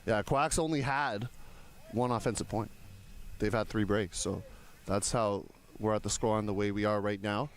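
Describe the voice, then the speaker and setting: A man's voice: lowish voice, fairly even intonation, moderate pace, slight background noise. A man in his thirties giving live play-by-play sports commentary with casual banter. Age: 30s.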